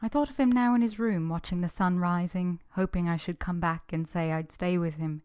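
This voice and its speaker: A woman's voice: on the low side, steady tone, moderate pace, a little mellow, clear, resonant tone, natural voice. A woman playing an earnest, steadfast young governess who tells her own story in a radio drama, restrained and sorrowful. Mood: sorrowful narration. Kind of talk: period radio drama narration and acting.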